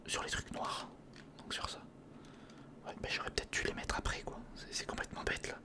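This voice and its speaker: A man giving whispered ASMR commentary on a hands-on build, murmuring to himself as he thinks and chatting informally to the viewer. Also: brisk. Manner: murmurs to himself while thinking through steps; informal, chummy address to the viewer. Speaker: ASMR video host.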